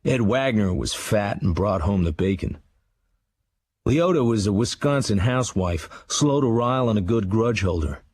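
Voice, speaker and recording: Low-voiced, hard-bitten American narrator. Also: male voice, animated, moderate pace, darkish tone, husky, dry recording, clean studio sound. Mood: hard-bitten, bleak. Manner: clipped, blunt, unsentimental sentences. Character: haunted, hard-bitten American writer narrating his memoir. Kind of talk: scripted radio drama narration.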